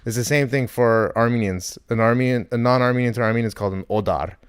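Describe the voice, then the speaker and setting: A man's voice: medium pitch, quick pace, clear, resonant tone, no room sound, clean studio sound. A man hosting a comedy podcast, telling digressive anecdotes in casual chat. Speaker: podcast host.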